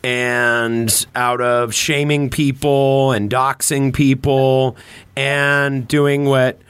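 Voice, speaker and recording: A man's voice: mid-range voice, flat delivery, extremely slow, a bit bright, clear, resonant tone, no echo. A man in casual political discussion on a podcast, repeating and rephrasing as he builds his point.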